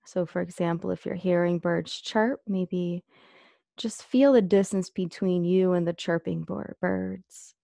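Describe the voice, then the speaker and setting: A woman with a soft-edged, mellow voice and noticeable fry leads a guided meditation. She gives gentle instructions, leaving long pauses between phrases. The sound is close and dry, with no room around it. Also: on the low side, steady tone, no echo.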